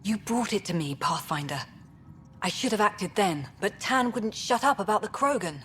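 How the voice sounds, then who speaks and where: An actress playing a weary, stern station director in a video game, firm and candid. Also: female voice, medium pitch, animated, moderate pace, fairly bright tone, slight background noise, natural voice. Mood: regretful, resolute.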